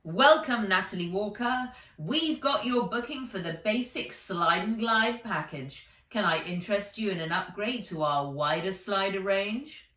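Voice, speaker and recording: A woman reader performs an upbeat young male avatar from a storybook. The attendant talks in bright, eager sales patter, pitched up and projected. The room echoes around the slightly distant voice. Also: expressive, measured pace, hardly any pauses. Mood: chirpy, welcoming. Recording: roomy echo, distant microphone.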